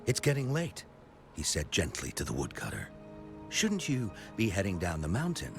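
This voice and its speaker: An actor playing a theatrical storyteller in a video game, spinning old legends with suspense and drawn-out emphasis. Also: male voice, low-pitched, wildly swooping pitch, vocal fry, over music, heavily acted. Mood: suspenseful.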